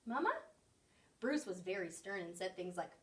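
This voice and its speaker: A woman reading a children's picture book aloud in an expressive storytelling style. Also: lowish voice, brisk, far from the mic. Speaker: story reader.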